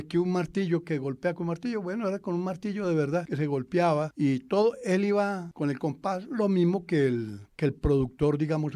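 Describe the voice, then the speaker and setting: A man's voice: a little high, warm tone, no room sound. An older man reminiscing as he answers in an interview.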